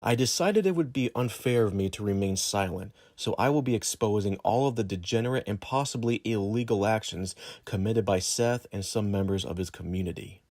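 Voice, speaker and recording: A man reads a prepared written statement aloud. His voice sits fairly low, with formal, measured phrasing and very little room sound. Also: darkish tone, no echo, clean studio sound. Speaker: guest reader of a document.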